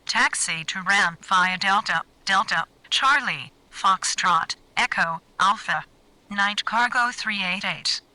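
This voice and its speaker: A businesslike female cargo pilot voiced by a woman in a flight game, with a very bright, forceful voice. She gives clipped, formal radio readbacks at a middle pitch. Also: slow, dry recording, low-quality recording. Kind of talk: scripted radio phraseology.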